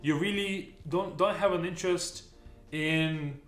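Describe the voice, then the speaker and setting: A man's voice: fairly high pitch, unhurried, a bit bright, echoey room, soft background music. A man from Russia, co-hosting a casual couple Q&A and earnestly repeating words as he searches for them. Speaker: co-host.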